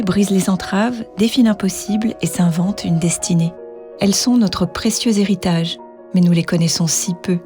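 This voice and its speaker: A woman co-hosting a podcast, reading a prepared introduction and welcoming her guest. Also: medium pitch. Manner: reads prepared text, warm laughs between lines. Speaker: podcast co-host. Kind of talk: scripted podcast intro and interview.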